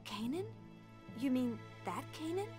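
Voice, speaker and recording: An actress playing an earnest, anxious young woman in a game cutscene, with a breathy, questioning voice. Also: high-pitched, sing-song, a little slow, music in the background. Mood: concerned. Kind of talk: dramatic video game cutscene acting.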